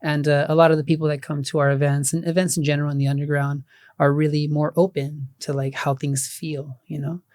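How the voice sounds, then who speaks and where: Raspy-voiced man, relaxed podcast guest. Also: a little high, fairly quick, no echo, clean studio sound. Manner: relaxed, many fillers and restarts. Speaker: guest sound healer and musician. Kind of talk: casual podcast interview.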